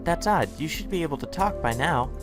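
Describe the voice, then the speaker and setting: A man's voice: a little high, lively intonation, a little fast, over music, voice pushed up, natural voice. A man doing a sweet, sing-song little girl. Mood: sweetly teasing. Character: small pale girl, sweetly cheerful captor.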